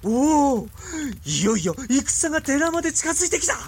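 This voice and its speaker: A man's voice: extremely high pitch, big pitch swings, normal speed, exaggerated voice. A male actor playing a deranged, decadent warlord-monk who revels in destruction with theatrical, gloating archaic speech in a period audio drama. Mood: manic glee.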